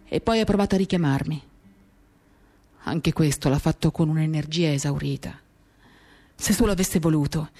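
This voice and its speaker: A woman giving a dramatic, confiding first-person reading of literary prose, measured and intimate, in short reflective phrases. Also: fairly low pitch, dark tone. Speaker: reader of a novel for radio theatre. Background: acoustic guitar music.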